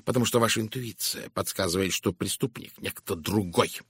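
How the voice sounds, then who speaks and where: A man voicing a shrewd, composed Belgian detective in an audiobook, speaking with measured courtesy and deliberate, probing emphasis. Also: lowish voice, a bit bright, husky, dry recording, studio quality, exaggerated voice. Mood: insistent, probing. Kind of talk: dramatised audiobook dialogue.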